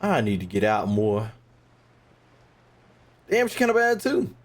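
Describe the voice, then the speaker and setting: A man's voice: fairly low pitch, huge pitch swings, measured pace. A man doing casual, slangy, joking live commentary as he streams a game.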